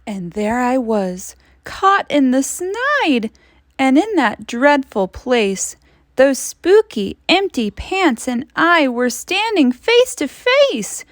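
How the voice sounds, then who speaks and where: A woman teacher reading a storybook aloud to children, expressively, with dramatic emphasis and suspenseful drawn-out phrases. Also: high-pitched, big pitch swings, dry recording, studio quality.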